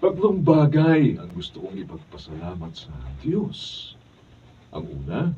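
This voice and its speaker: A man's voice: lowish voice, dark tone. A man playing an emotional, exclamatory hot-headed motorist in a Filipino radio drama. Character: hot-headed boastful male motorist, later grateful and repentant. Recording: distant dull behind-the-scenes video, faint noise.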